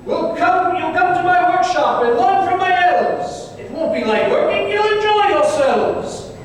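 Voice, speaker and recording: Man acting old Santa Claus, high lively voice, commanding, stern yet jolly, rhyming radio drama, distant in a reverberant hall. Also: a little slow. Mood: kindly. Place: live stage performance in a hall. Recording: very echoey hall, distant mic, faint noise.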